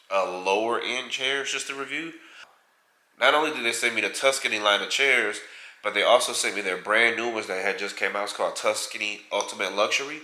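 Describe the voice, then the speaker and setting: Congested man with a bright, echoey voice. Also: lowish voice. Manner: rambling, self-correcting. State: congested, nasal from a head cold. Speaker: vlog co-host. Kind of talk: casual home tour chat. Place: large home theater room, indoors. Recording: echoey room.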